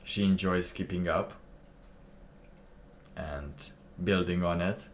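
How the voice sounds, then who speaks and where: Slow, deep-voiced man musing. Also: fairly even intonation, a little hiss or hum. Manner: slow, musing, laughs to himself. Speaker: streamer reacting to a clip. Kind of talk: casual commentary.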